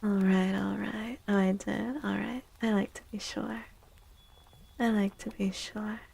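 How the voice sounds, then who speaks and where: A woman streaming soft-spoken ASMR, chatting gently and playfully with viewers, often close to a whisper and repeating little phrases. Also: medium pitch, level tone, a little slow. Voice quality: soft, breathy, husky, often near whisper. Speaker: ASMR streamer, tarot reader.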